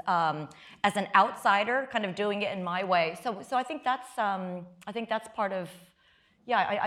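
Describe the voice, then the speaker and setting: A middle-aged American woman from the Midwest talks candidly on stage. Her voice sits at an ordinary pitch for a woman, and she often restarts sentences with self-deprecating asides. The recording is a live talk in front of an audience. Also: a bit bright. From United States, Midwest. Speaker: guest author and law professor. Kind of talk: conversational interview on stage.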